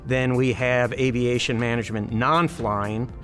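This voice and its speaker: A man's voice: medium pitch, steady tone, unhurried, fairly bright tone. A man in his fifties, an aviation program director giving a promotional interview. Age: 50s. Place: large aircraft hangar. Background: music.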